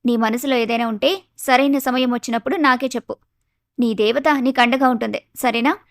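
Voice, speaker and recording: A woman acts out a wise, caring elder sister-in-law in an animated moral story. The voice is high and close with very little room sound, and the manner is motherly and reassuring, with a gentle tease. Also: fairly quick, dry recording, natural voice. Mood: supportive.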